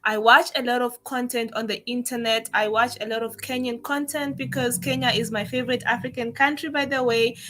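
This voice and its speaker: A woman's voice: fairly high pitch, fairly even intonation, fairly quick, hardly any pauses, fairly bright tone. A young Zimbabwean woman with a Zimbabwean accent, a native Shona speaker, speaking earnestly with conviction as a guest on a livestream interview. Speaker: guest content creator.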